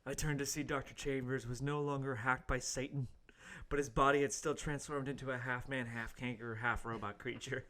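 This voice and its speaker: A man's voice: mid-range voice, fairly even intonation, brisk, a bit bright, lightly acted. A man playing a young intern hero who narrates his adventure mock-heroically in an amateur comedic radio play. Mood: mock-heroic narrating.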